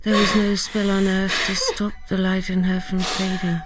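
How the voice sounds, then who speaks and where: An actress playing a brooding storyteller in a radio drama, narrating theatrically and savouring each word like an incantation. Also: female voice, mid-range voice, little pitch movement, normal speed, very noisy, close to an everyday voice. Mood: mournful. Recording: music behind the narration.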